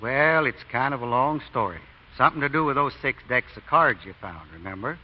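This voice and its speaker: An actor as a folksy, swooping small-town American deacon. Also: male voice, medium pitch, lively intonation, brisk, lightly acted. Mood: wistful. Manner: folksy, drawling, feigned innocence. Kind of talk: 1940s radio acting. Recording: dull old radio broadcast recording.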